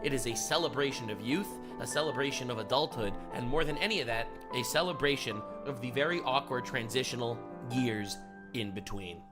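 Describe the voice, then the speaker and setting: A man's voice: mid-range voice, brisk. A man delivering scripted analytical commentary as a video essayist, emphatic and building points through rhetorical repetition. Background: music.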